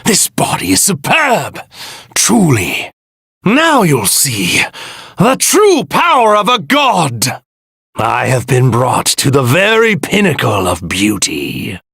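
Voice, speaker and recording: A man voicing an arrogant, evil god in a stolen warrior body for an anime game, pompous, theatrical and menacing, with a husky, gravelly voice. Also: on the high side, wildly swooping pitch, takes it slowly, a bit bright, dry recording, clean studio sound, heavily acted. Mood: smug rapture. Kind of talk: anime video game voice lines.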